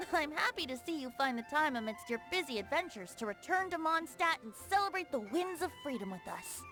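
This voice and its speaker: A woman's voice: high voice, lively intonation, a little fast, music in the background. A woman voicing a gracious, youthful poet-bard in a video game, whimsical, courteous and lyrical in delivery. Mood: genial. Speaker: game character voice actor. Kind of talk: voiced game dialogue, poetry recital.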